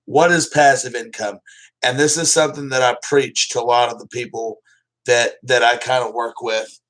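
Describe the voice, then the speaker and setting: A man's voice: medium pitch, steady tone, normal speed, a bit bright, recorded from a distance, low-quality recording. A man hosting a live stream, chatting casually and rambling loosely, swearing now and then. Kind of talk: casual livestream chat.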